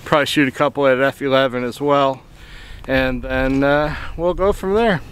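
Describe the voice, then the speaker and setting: A man's voice: mid-range voice, fairly even intonation, moderate pace. A man in his fifties, a landscape photographer, chatting casually on a frozen pier with lots of fillers and restarts. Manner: rambling, many fillers and restarts. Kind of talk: casual vlog narration on location. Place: outdoors on a frozen pier by a lake. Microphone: camera on a handheld rig, close framing. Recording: outdoor wind noise.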